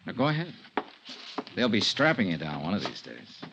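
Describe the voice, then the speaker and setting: A man with an American accent playing a weary, hard-boiled insurance investigator in a crisp, wry 1950s radio detective style. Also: lowish voice, wildly swooping pitch, normal speed, dull audio, background noise. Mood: accusing. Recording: band-limited old radio recording.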